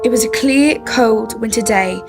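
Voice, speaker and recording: A woman playing the narrator of a radio play, reading in a measured, composed storytelling way. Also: a little high. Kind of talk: scripted radio drama narration. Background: music.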